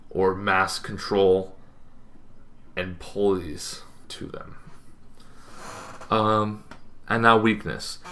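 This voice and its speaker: A young man talking casually to camera, explaining things in an unscripted way with emphatic phrasing and pauses. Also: lowish voice, bright, forceful tone. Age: young adult. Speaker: tabletop gaming video host. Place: small bedroom, indoors.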